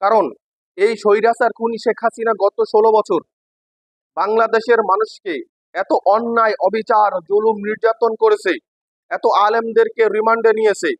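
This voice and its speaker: Bangladeshi expat guy, high pitched, impassioned political speech piling up lists, close dry recording. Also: flat delivery, moderate pace, no room sound, low-quality recording. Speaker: expatriate giving his opinion.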